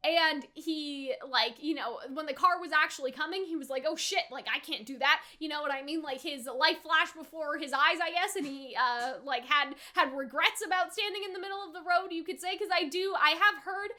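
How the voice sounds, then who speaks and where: High-pitched, bright young woman. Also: normal speed, hardly any pauses. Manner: informal, slangy, speculative, punctuated with rhetorical questions. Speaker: reaction video host. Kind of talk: casual anime reaction commentary.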